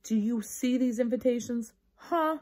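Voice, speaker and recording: A woman's voice: a little high, unhurried, darkish tone, pitched above the performer's own voice, close to an everyday voice. A woman voicing a boastful, huffy first-grade girl in a children's storybook read-aloud. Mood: huffy anger.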